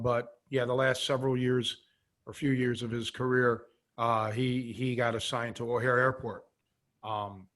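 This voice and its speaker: A man's voice: mid-range voice, a bit bright. A middle-aged American man chatting casually on a podcast, rambling through anecdotes as he goes. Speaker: podcast host.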